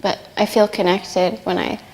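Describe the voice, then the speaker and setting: Soft-spoken young woman, hesitant. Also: medium pitch, little pitch movement, normal speed, in a large hall, rough recording. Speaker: student interviewee. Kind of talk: documentary interview.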